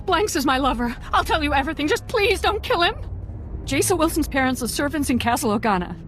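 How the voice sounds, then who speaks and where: A woman's voice: sits high, animated, fast talker, a bit bright. A woman playing a devoted servant in a video game, distraught and tearful, with a pleading, emotional delivery. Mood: desperate pleading. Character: devoted, desperate female servant pleading for her lover. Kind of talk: video game dramatic acting.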